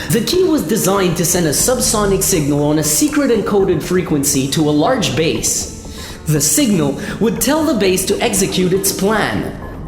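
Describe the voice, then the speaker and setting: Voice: medium pitch, a little mellow. An actor playing a level-headed narrator and crew leader in a scripted sci-fi radio play. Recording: echoey reverberant sound with music behind.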